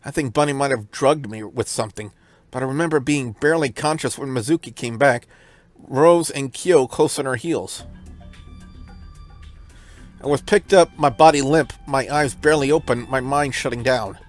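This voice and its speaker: A man's voice: mid-range voice, normal speed. A man hosting a let's play, reading visual novel narration aloud in a dramatic storytelling style. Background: music.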